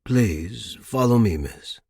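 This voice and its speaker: Aging household butler, acted by a male narrator: formal, deferential, reserved, husky tone. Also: fairly low pitch, animated, slow pace, warm tone, dry recording, clean studio sound, exaggerated voice. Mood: courteous, reserved.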